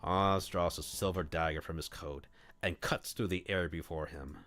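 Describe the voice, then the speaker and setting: A man reading a visual novel aloud on a stream, mixing expressive narration with casual commentary. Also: deep voice, normal speed. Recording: music under the voice. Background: background music.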